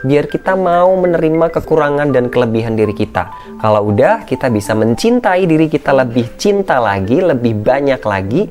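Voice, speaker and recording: A man with a thin, shrill voice, giving an informal, encouraging educational talk as a content creator, slipping in English phrases. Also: very animated, fairly quick, a little mellow, faint music behind. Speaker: content creator and public speaking coach. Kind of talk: casual motivational educational vlog talk.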